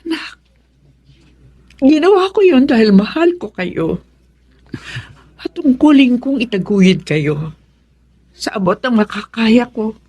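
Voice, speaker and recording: A woman's voice: a little high, animated, takes it slowly, long pauses, warm tone, breathy edge, exaggerated voice. An actress playing a devout, principled and devoted single mother in a Filipino radio drama, speaking earnestly. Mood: tender.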